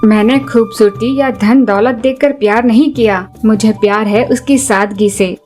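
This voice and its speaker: A woman's voice: a little high, steady tone, normal speed, a little mellow, soft background music, pitched below the performer's own voice, lightly acted. A woman voicing a sincere, principled young village man in love, earnest, in a cartoon story. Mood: sincere, firm.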